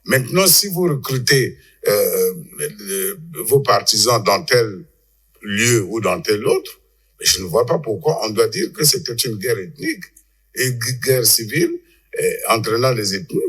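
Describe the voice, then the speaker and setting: Male panel guest, lowish pitch, halting unscripted analysis with repeated words. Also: very animated, a bit bright.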